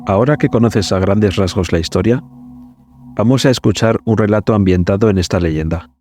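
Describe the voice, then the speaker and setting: A man hosting a podcast, narrating a scripted story in a measured, suspenseful manner. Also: lowish voice, darkish tone, faint music behind.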